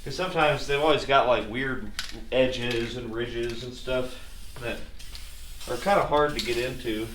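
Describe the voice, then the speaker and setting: A man's voice: mid-range voice, a little slow. Echoey, folksy man working in a garage. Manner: wry, self-deprecating, folksy. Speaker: DIY car repair video host. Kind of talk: casual hands-on how-to narration. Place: garage workshop. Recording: echoey, distant mic, clearly noisy.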